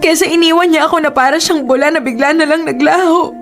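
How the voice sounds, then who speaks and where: A woman's voice: high-pitched, quick pace, a bit bright, faint music behind. A woman with a Filipino accent playing a heartbroken, pleading jilted girlfriend in a radio drama. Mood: heartbroken, tearful.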